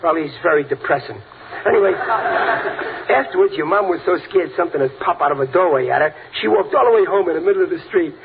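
High-voiced, boisterous American dad. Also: male voice, lively intonation, a little fast. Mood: gleeful scheming. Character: boisterous, childish working-class family man, playful prankster father. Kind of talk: 1940s radio comedy acting. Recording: tinny, very noisy vintage radio recording.

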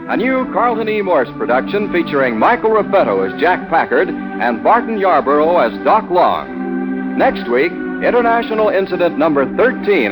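A man's voice: a little high, rough recording. A man announcing scripted credits in 1940s radio style, over organ music.

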